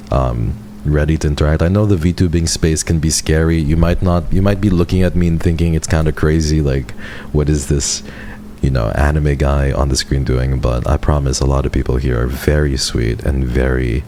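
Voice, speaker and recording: A man in his twenties with an extremely low voice chats casually with his livestream viewers. He rambles warmly and often leaps upward in pitch when something excites him. A faint hiss sits under the desk-mic recording. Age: 20s. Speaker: VTuber streamer.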